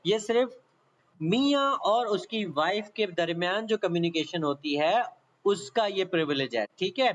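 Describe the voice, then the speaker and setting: Man, high voice, Pakistani accent, teacher explaining step by step, repetitive, dull lo-fi recording. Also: expressive, a little slow. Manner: repetitive, checks understanding often. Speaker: law lecturer. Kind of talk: explanatory exam-prep lecture, reading aloud then explaining.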